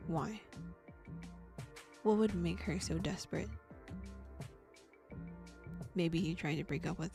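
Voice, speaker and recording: Female streamer, gravelly timbre, reads game text aloud with lively reactions; faint music bed. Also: on the low side. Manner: animated reactions, gasps and laughs between read passages. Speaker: streamer reading a visual novel aloud. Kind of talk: game playthrough, reading text plus reactions.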